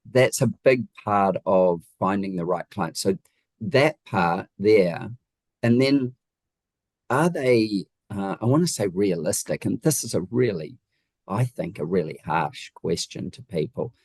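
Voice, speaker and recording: A man with a low-pitched voice and a New Zealand accent speaks as an interview guest, recorded dry and close. He explains things conversationally and leans on key points with emphasis and rhetorical questions. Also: lively intonation, slow, darkish tone, no echo. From Wellington region, New Zealand. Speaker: guest, home designer.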